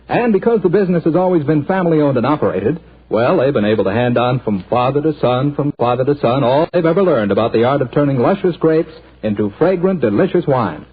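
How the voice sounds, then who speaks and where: A male radio announcer in 1940s style, reading ads in a smooth, cheerful, salesy way. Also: a little high. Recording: tinny 1940s radio broadcast.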